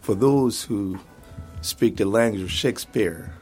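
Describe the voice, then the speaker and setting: Low-voiced man, hesitant, music behind. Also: a little slow, a little mellow. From Democratic Republic of the Congo. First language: French and Swahili. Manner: hesitant, searching for words. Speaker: interview guest. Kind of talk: reflective interview talk.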